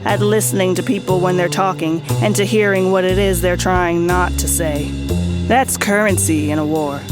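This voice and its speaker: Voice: mid-range voice, close to an everyday voice. Actor doing a tough wry noir detective, streetwise war vet, radio play style, music playing under it. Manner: wry, folksy, streetwise hardboiled talk. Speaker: lead character and narrator. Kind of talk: noir radio drama dialogue and narration. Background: background music.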